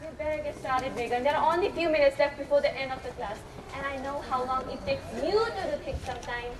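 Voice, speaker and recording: A woman's voice: sits high, fast, distant mic, lightly acted. A young woman in an amateur stage play acting a patient but exasperated schoolteacher, speaking in an instructive way. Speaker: student actor in a school play. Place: echoey hall, distant microphone. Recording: echoey, noisy stage recording from afar.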